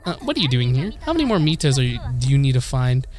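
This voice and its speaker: A man's voice: medium pitch, very animated, quick pace, a little mellow, natural voice. Visual novel role: crazy, bratty girl acted out by a man, brash and exasperated, faint music underneath. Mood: exasperated, scornful. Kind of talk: visual novel dialogue read aloud. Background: game music.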